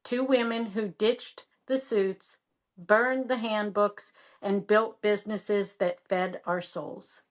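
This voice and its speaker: A woman's voice: medium pitch, fairly even intonation, slow. Woman co-host reading a podcast intro. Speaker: podcast co-host, real estate agent.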